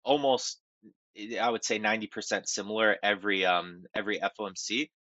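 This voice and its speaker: A stuffy, nasal man talks through market news in a halting podcast chat, full of ums and restarts. His voice is bright and forceful but a little low. The recording is dry and slightly dull. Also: lively intonation, vocal fry, dry recording. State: nasal from a cold. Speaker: podcast co-host. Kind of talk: casual market commentary.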